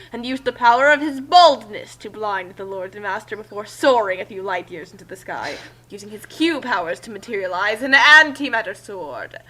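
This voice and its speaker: A woman with a fairly high, very bright voice reads a story aloud as its narrator. She delivers it as a dramatic reading and slips into casual asides. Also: rough recording.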